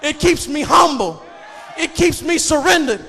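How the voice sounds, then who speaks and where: Shouting male preacher, gravelly and high-pitched. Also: lively intonation, unhurried, a faint crowd. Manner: emphatic, repetitive, cadenced delivery building on repeated phrases. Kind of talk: fervent shouted sermon. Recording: echoey hall reverb with congregation noise.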